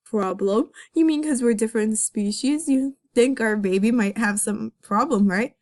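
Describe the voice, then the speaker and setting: A woman's voice: on the high side, moderate pace, darkish tone, natural voice. A woman voicing a cocky, sappy male cartoon fox from a fan comic, with a teasing, effusive, melodramatic manner. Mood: worried.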